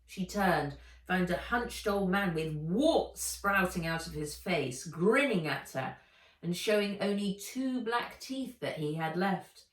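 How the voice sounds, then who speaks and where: A woman narrating a children's adventure book aloud in an expressive, dramatic and deliberate way. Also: on the low side. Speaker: author reading own book aloud. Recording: echoey room, distant microphone.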